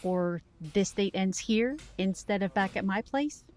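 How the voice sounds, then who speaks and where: An actress playing a sharp, playful but concerned woman in an audio drama, with a deliberate, pointed delivery. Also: medium pitch, close to an everyday voice. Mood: stern, pressing.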